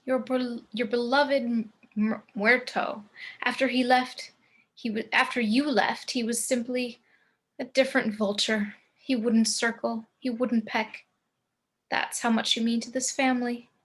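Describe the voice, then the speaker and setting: Gothic matriarch, a woman's read, fairly high voice, composed, stately, devoted and darkly dramatic. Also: flat delivery, unhurried. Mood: wistful, concerned. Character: elegant macabre matriarch, devoted, grandly morbid wife. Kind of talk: script table read, character acting.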